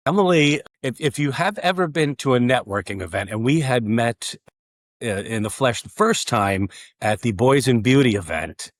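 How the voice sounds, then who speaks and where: A man's voice: mid-range voice, moderate pace, no room sound, clean studio sound. Chatty man hosting a podcast. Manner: relaxed, chatty storytelling with fillers. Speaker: podcast host. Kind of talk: conversational interview.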